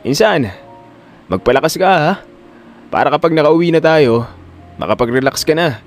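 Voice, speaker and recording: Two-faced male cousin, fake-friendly with menace, played by a male narrator; very animated intonation. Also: fairly high pitch, moderate pace, faint music behind. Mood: falsely friendly. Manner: taunting, falsely friendly. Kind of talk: Filipino audio drama acting.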